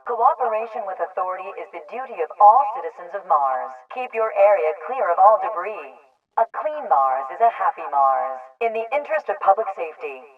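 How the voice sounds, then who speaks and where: Distant, muffled female military loudspeaker announcer. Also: mid-range voice. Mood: stern, official. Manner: formal, measured, official, impersonal. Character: authoritarian female military colony loudspeaker announcer, calm and stern. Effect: loudspeaker radio filter. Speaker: public address announcer. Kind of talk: scripted propaganda broadcast in a video game. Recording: muffled, band-limited distant loudspeaker broadcast.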